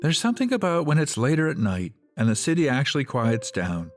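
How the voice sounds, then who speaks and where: A man playing a mellow, laid-back, sincere survivor in a radio play. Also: mid-range voice, huge pitch swings, fairly quick, faint music behind. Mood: wistful.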